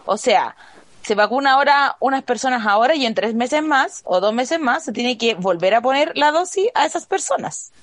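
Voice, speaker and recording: Young woman, Chilean accent, higher-pitched voice, casual podcast chat, slangy expressive ranting, dry close sound. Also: moderate pace, dry recording. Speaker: podcast co-host.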